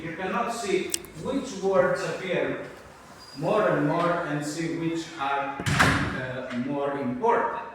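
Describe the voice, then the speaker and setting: A middle-aged man with a Greek accent giving an unscripted university conference talk, halting with many fillers and restarts and a dry self-deprecating humor. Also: on the high side, slight background noise. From Greece. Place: large university lecture hall. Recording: echoey lecture hall recorded from far off.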